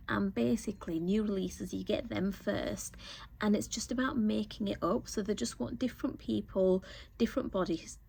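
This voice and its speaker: Woman vlogging about sewing, croaky from a cold, husky, rambly with loads of ums. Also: mid-range voice, a little mellow. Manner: chatty, rambling, many ums and restarts. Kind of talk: casual chat to camera.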